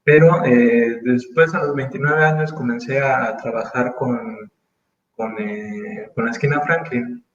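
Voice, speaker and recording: A man in his thirties from Mexico, speaking as an interview guest in casual conversation, thoughtful and full of pauses and restarts. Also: medium pitch, reverberant, dull audio, slight background noise. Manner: thoughtful, hesitant, many fillers and restarts.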